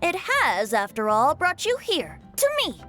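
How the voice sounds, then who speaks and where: A woman's voice: high-pitched, huge pitch swings, measured pace, sharp tone, heavily acted. A woman playing a playful, self-assured young male bard in an anime game, flowery and theatrical, with music behind. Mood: grand, playful. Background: background music.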